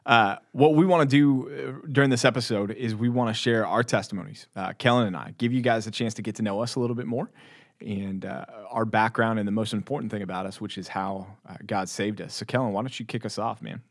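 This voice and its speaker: A man's voice: fairly low pitch, fast. Easygoing American man co-hosting a podcast. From Texas, United States. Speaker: podcast co-host.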